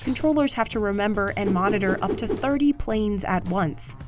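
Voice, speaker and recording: A woman's voice: mid-range voice, normal speed, music in the background. An American woman reading scripted documentary narration as the narrator of a news feature.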